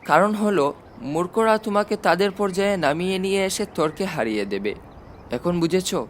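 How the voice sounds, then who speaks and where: A man's voice: sits high, animated, normal speed, a little hiss or hum. A man voicing a self-satisfied elderly president with chatty, dry humour in an audiobook. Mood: smugly advising. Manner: chatty, drily humorous. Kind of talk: audiobook character dialogue.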